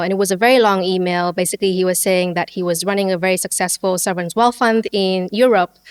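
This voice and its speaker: Podcast guest: woman in her thirties from Vietnam, measured and detailed, crisp voice in a dry room. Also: mid-range voice, no room sound. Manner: measured, detailed, light laughs. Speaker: podcast guest, business owner. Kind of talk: conversational interview storytelling.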